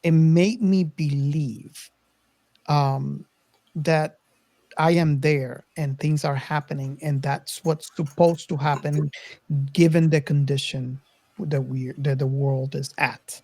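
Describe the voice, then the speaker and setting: A podcast co-host in a casual film discussion. The voice sits at a middle pitch and moves hesitantly, searching for words. The sound is dry, with little room to it. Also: fairly even intonation, slow, darkish tone, no echo.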